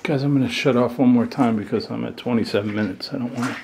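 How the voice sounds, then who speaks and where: A man exploring an abandoned hospital with a friend, talking in a hushed, wary way and checking on his friend. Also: mid-range voice, fast, vocal fry. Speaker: channel host exploring. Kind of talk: casual ghost-hunting chat. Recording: noisy handheld camera audio.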